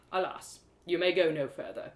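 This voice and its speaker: A woman's voice: lowish voice, fairly even intonation, unhurried, lightly acted. A woman voicing a self-righteous male elf guard in a storybook, with formal, mocking speech. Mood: officious. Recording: roomy echo.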